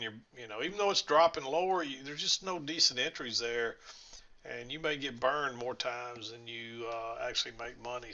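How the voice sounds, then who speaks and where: A man's voice: medium pitch, pushed and bright, muffled recording. A man reviewing trading charts, rambling folksily as he thinks aloud, his voice a little scratchy. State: slightly scratchy voice. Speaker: trading instructor. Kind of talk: screen-share chart walkthrough.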